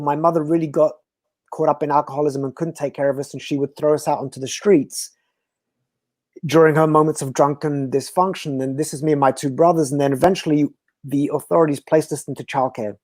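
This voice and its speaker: A man from Belgium, a guest speaker talking earnestly and philosophically in slow, emphatic phrases. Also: a little high, steady tone, a little mellow, dry recording. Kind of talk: reflective conversational talk.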